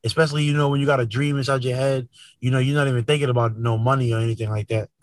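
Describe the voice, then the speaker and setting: A man's voice: mid-range voice, steady tone, racing, no room sound. A man talking casually as an interview guest, using lots of slang and tags like "man" and "you know what I'm saying".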